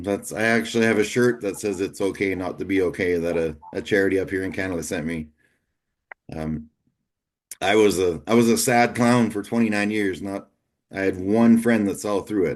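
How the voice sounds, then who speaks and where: A man in his late forties with a fairly low voice hosts a casual interview. He talks in a relaxed, candid way and speaks openly about his own life. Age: 40s. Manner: candid, easygoing and confessional. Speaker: podcast host.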